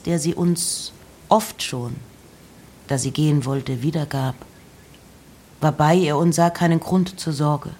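Slow, breathy literary reader. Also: medium pitch, a little mellow. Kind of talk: literary reading of letters and poems.

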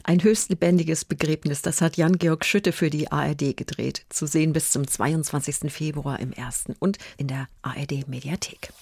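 A woman's voice: deep voice, steady tone, moderate pace, vocal fry, few pauses, darkish tone, slightly rough, no room sound. A woman hosting a conversational arts interview, asking questions as a radio interviewer.

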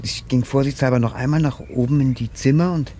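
A man's voice: medium pitch, fairly quick, mellow, natural voice. An actor playing an anxious, guilt-ridden man cornered over his secret theft, nervous and defensive, in a vintage German radio crime drama. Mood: uneasy, confessing. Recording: noisy old radio drama recording.

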